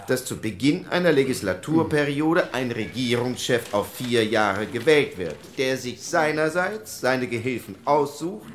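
Male mid-pitched actor as earnest delegate in a radio docudrama, emphatic formal speech over faint room noise. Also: lively intonation, a little slow, few pauses, close to an everyday voice. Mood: earnest, persuasive. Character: earnest male post-war constitutional delegate arguing his case.

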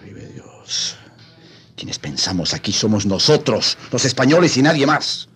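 An actor playing an arrogant, greedy Spanish conquistador in a radio drama, haughty and declamatory. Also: male voice, mid-range voice, very animated, measured pace, fairly bright tone, breathy edge, exaggerated voice. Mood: scornful, boastful. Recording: radio play with prominent background music.

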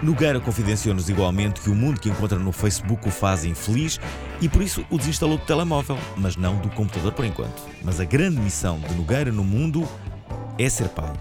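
A man's voice: lowish voice, big pitch swings, brisk. A man giving scripted narration for a podcast, with a playful delivery. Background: background music.

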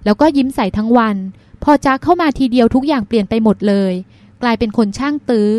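A woman's voice: fairly high pitch, fast talker, dark tone, no echo, natural voice. A woman reading the part of a stubborn, teasing young woman, a petulant younger sister, in an audiobook.

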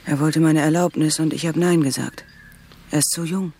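Husky, worried mother. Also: sits low, fairly even intonation, moderate pace, warm tone. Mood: earnest. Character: worried, beautiful middle-aged woman, mother of three. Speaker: narrator's cousin. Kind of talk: radio drama acting.

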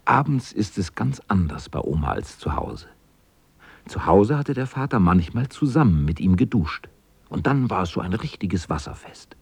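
An actor narrating a children's radio play as a plain-spoken storyteller with a husky voice. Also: male voice, sits low, big pitch swings, normal speed, no room sound, exaggerated voice. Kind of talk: scripted narration in a radio drama.

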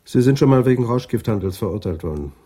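An actor playing a gruff, grumbling police detective with a husky, dark voice in a radio drama. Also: male voice, medium pitch, animated, fast, no room sound, studio quality. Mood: gruff, sardonic.

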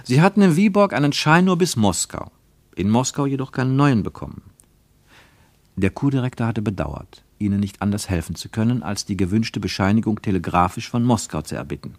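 Expressive, low-voiced male narrator. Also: a little fast, dry recording. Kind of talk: literary scripted radio narration.

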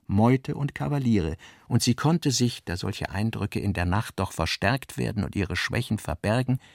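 A man reading scripted literary narration with measured, deliberate phrasing. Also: medium pitch, gravelly, a little mellow, dry recording, clean studio sound.

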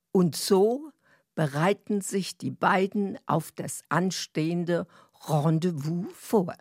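A woman's voice: lowish voice, no room sound, clean studio sound. A woman narrating a story from a script as storyteller.

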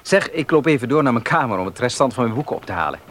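A man's voice: medium pitch, expressive, rapid-fire, lightly acted. An actor playing a serious young clergyman in a radio play, plain-spoken and matter-of-fact. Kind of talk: Dutch radio play acting. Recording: noisy outdoor-scene radio drama effects.

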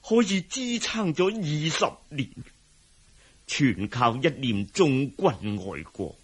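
A man acting as a proud, anguished, middle-aged loyal envoy in a Cantonese radio drama, husky and solemnly declamatory. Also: mid-range voice, fairly bright tone, heavily acted.

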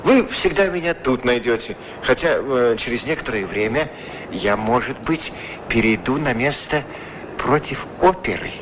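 A man's voice: medium pitch, lively intonation, moderate pace, natural voice. A man acting a humble, chatty, deferential street shoeshine man in a 1960s radio play. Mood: deferential, pleading. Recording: noisy old radio drama recording.

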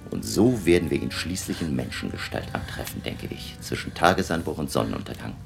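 An actor playing a grave, learned vampire hunter who lectures his allies deliberately and emphatically in a German radio drama. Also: rumbling low, big pitch swings, creaky, music in the background, full character voice. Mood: grave, resolute.

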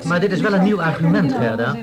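An actress playing a brisk, argumentative woman colleague in a radio play, pointed and dryly teasing. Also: deep voice, expressive, over a noisy crowd. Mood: challenging. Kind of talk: Dutch radio play acting.

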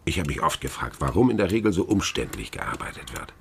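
Very deep, gravelly older police inspector. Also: male voice, big pitch swings, slight background noise, heavily acted. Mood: dry, lecturing. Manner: dry, deliberate, lecturing. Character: shrewd, weary retiring police inspector, older man. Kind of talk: German radio crime drama acting.

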